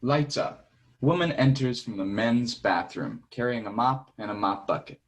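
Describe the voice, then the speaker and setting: A man's voice: mid-range voice, moderate pace. A man reading a play's stage directions as scripted narration.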